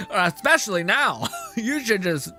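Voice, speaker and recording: Streamer: an animated, profane man, high and bright. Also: takes it slowly. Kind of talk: casual gameplay commentary.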